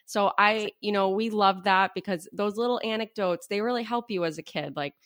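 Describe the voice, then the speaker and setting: A woman's voice: mid-range voice, moderate pace, a bit bright, dry recording, clean studio sound. A woman hosting an interview, asking rambling follow-up questions between short reactions. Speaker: podcast host. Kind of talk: casual interview chat.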